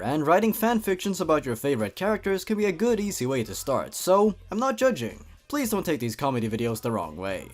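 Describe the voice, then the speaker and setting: A man hosting a comedic reading, moving between dramatic narration and wry, sarcastic asides with theatrical emphasis. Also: on the high side. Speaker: comedy video host reading and commenting on horror fan fiction. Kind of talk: comedic commentary mixed with dramatic story read-aloud.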